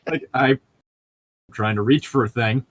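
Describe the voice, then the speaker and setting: A man's voice: medium pitch, unhurried. A young man in casual, joking, profane chat as a co-host reading a book aloud with commentary. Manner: profane, bantering.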